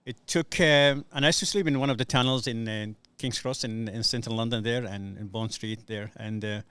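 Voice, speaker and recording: A man's voice: medium pitch, steady tone, brisk, pushed and bright, smooth, dry recording. A middle-aged man from Somalia, a podcast guest telling his life story in a hesitant, earnest way with many fillers. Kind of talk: casual podcast interview.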